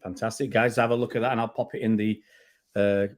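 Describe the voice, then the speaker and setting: A middle-aged man from England hosts a casual football chat in a slightly low voice. He is chatty and often repeats or restarts his words. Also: flat delivery, a little fast. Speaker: fan channel host.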